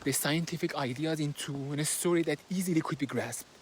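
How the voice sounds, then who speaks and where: Male book vlogger with a Persian accent who talks while walking outside: somewhat high pitch, hesitant delivery, recorded on a phone with light background noise. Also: brisk. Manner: hesitant, with many fillers and restarts. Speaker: book reviewer. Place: outdoors in nature, walking. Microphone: handheld phone, no fancy equipment.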